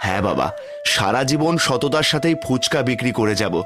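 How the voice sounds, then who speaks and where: A man's voice: mid-range voice, lively intonation, a little fast, over loud music, natural voice. A man voicing a humble, kindly, honest street-food seller and father in a cartoon. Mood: grateful, earnest. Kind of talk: cartoon voice acting.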